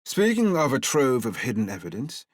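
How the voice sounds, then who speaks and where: An actor playing a wry older police detective with formal, old-fashioned phrasing in an audiobook drama. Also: male voice, mid-range voice, huge pitch swings, normal speed, no echo, clean studio sound. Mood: wry, scheming. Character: older male police detective, wry, theatrical, outwardly cordial. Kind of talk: full-cast audiobook drama acting.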